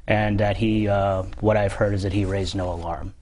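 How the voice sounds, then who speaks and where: Halting, low-voiced man answering as guest expert. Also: flat delivery, darkish tone. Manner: measured, hedging, halting. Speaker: guest expert on a security call-in show. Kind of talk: interview answers to callers.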